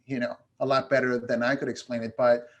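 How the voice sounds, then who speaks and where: A man from New York, raised speaking Spanish, talks as a guest on an interview show. His voice sits at an ordinary middle pitch. He speaks casually and reflectively, pausing often to gather his thoughts. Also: level tone, highs cut off. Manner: reflective, many "um" pauses. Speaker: guest, education consultant.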